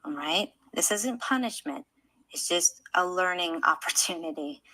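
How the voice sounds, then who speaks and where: A woman's voice: fairly low pitch, expressive, unhurried, sharp tone, low-quality recording. A woman in a reality-show clip, earnestly reassuring someone and swearing for emphasis.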